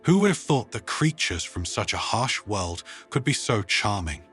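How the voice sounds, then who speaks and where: A man's voice: on the low side, big pitch swings, normal speed, fairly bright tone, breathy edge, faint music behind, full character voice. A man voicing a slightly frazzled male alien announcer, respectful and formal, in a narrated story. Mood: impressed respect.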